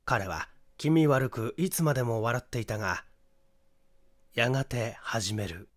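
Male narrator for fiction audiobook: restrained, measured storytelling. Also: mid-range voice, animated. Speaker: audiobook reader, stage actor.

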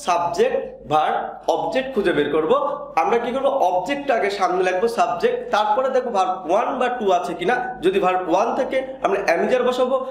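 A man in his thirties with a Bangladeshi accent lecturing as a grammar teacher, emphatic and didactic, often answering his own questions. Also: high voice. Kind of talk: classroom-style video lecture. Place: indoor room in front of a whiteboard. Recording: very echoey, reverberant room.

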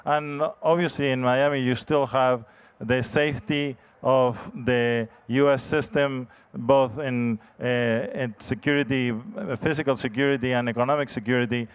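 A middle-aged man speaks on a conference stage through a handheld mic. His voice is smooth and clear, and his pitch sits mid-range. He talks in a measured, hesitant way, often restarting his sentences. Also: fairly even intonation. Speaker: real estate developer panelist. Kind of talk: panel discussion answers.

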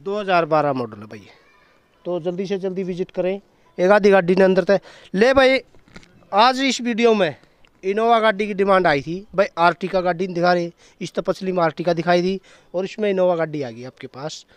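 A man's voice: sits high, a little fast, clear, resonant tone, no echo. A man, hosting a casual walkaround of a used car lot outdoors, chatting and talking up prices into a handheld mic. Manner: chatty, hyping prices, asks dealer questions. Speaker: host of a used car channel. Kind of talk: casual walkaround sales video.

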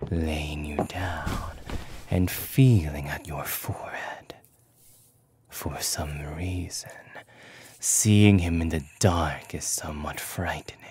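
A man narrating a scripted ASMR story in a hushed, breathy whisper with long pauses. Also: very deep voice. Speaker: voice actor narrating an audio roleplay.

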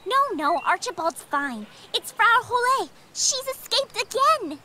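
A woman voicing an excitable young girl in a video game, with an eager, exclamatory delivery. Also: very high voice, a little slow, slight background noise, exaggerated voice. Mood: worried, urgent.

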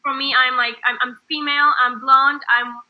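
A woman from Israel, a startup founder, answering interview questions in a high voice, pausing often with fillers and restarts. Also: flat delivery, narrow, tinny audio. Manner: hesitant, many fillers and restarts.

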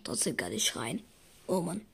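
A hoarse young woman giving casual gaming commentary, excitable and yelping at results, slipping into a raspy near-whisper when she talks quietly. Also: low voice, normal speed. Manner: excitable, shrieks and groans at spin results. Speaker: gaming YouTuber.

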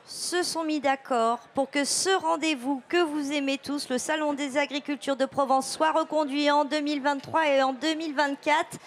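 A woman's voice: sits high, a little hiss or hum. A woman in her fifties interviewing a guest at a fair stand, chatty and friendly with fillers. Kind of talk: casual interview questions. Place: outdoor stand at an agricultural fair, on a sofa. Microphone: handheld microphone.

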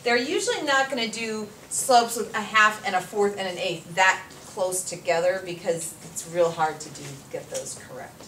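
A woman's voice: mid-range voice, fairly bright tone. A woman math teacher lecturing a class at a whiteboard, explaining step by step and quizzing students, recorded from far off in a classroom. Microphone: far camera. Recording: echoey classroom from far off, faint noise.

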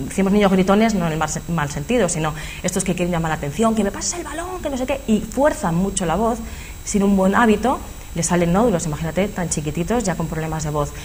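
A woman's voice: medium pitch, a little mellow, a little hiss or hum. A woman with a Spanish accent, a speech therapist guest in a TV studio interview, explaining animatedly with many fillers. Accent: Spanish from Spain. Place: local TV studio.

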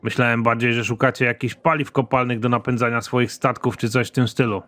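A man playing a gruff, trigger-happy, hard-drinking space soldier in an animated comedy, with a crude, deadpan, grudging delivery. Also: mid-range voice, fairly quick, natural voice.

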